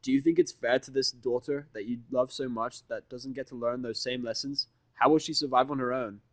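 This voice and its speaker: Defiant human protagonist voiced by a man, mid-pitched, dry close sound, brash sarcasm, confrontational fan audio drama. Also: fairly even intonation, brisk, dry recording, close to an everyday voice. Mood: heated. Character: defiant foul-mouthed human man, bluffing and scolding.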